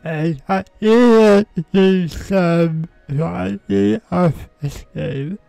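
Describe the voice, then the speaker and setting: Male gameplay host: high, creaky voice, halting casual commentary, music playing. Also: mellow, no room sound. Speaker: host of a video game playthrough.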